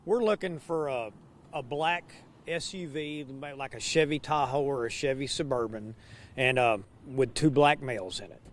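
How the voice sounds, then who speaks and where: An American man speaks as a police chief in a field interview. His voice is of average pitch for a man, and his answers are unscripted. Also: normal speed.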